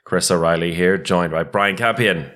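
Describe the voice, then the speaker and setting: Low-voiced male podcast host. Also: moderate pace, fairly bright tone. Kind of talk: casual sports podcast chat.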